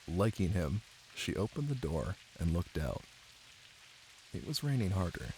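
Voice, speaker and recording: A man playing an affectionate, playful boyfriend in an intimate ASMR roleplay, with a deep, husky voice and soft, teasing delivery. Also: very animated, a little hiss or hum, full character voice, poor audio. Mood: soft storytelling. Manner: soft, unhurried, teasing, with murmured pauses. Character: affectionate, playful, ticklish boyfriend reading aloud to partner. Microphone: close microphone. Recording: close, dry, with rain ambience. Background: rain.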